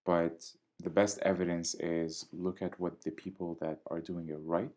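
A young man with a low, deep voice talks in a calm, measured way. He is articulate and diplomatic, and he explains his views at length in a podcast interview. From Syria. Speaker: DJ and guest.

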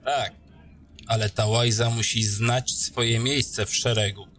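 A man playing a slow-talking, sardonic soldier. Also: mid-range voice, steady tone, fairly bright tone, slight background noise. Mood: conspiratorial, scornful. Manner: blunt, sardonic, colloquial. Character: gruff, irreverent soldier comrade, sardonic and proud. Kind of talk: fantasy audio drama acting.

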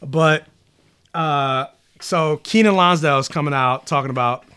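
A young man co-hosting a casual chat show, talking quickly through entertainment news with frequent restarts and laughs. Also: on the high side, pushed and bright, smooth. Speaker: co-host.

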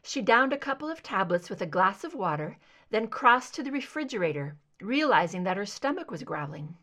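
A woman's voice: mid-range voice, big pitch swings, a little fast, fairly bright tone. A woman reading scripted fiction aloud as an audiobook narrator. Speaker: amateur audiobook reader.

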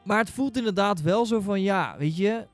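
Slightly high-voiced man chatting. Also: moderate pace, soft background music. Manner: hesitant, repeating phrases, informal with swearing. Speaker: podcast panelist. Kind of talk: casual chat.

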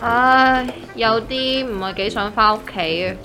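A woman's voice: on the high side, measured pace, bright, forceful tone, faint music behind. A woman with a Hong Kong Cantonese accent playing a gloomy, aggrieved young worker who whines and complains in a comedic audio drama. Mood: glum, aggrieved. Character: gloomy, aggrieved young woman worker griping about her strict grandpa.

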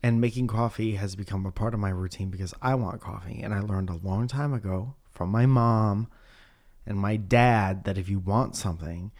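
A man with a lowish voice talks through a casual podcast chat. He ribs his co-host with dry jokes and quick banter, and he has settled views on routines and conversation. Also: darkish tone. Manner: joking, teasing, quick banter.

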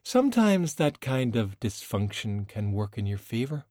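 A man voicing a composed, worldly sorceress, measured and cool. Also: mid-range voice, very animated, a little mellow, dry recording, studio quality, natural voice. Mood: wistful, wry. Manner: measured, formal, admonishing. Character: worldly, composed, cool woman sorcerer, long-lived and stern.

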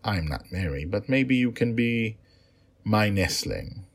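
A man doing a deep, soft-spoken eagle-man general. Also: expressive, takes it slowly, heavily acted. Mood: soothing. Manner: soft, warm, soothing. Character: deep-voiced half-eagle half-man general, imprisoned villain turned gentle.

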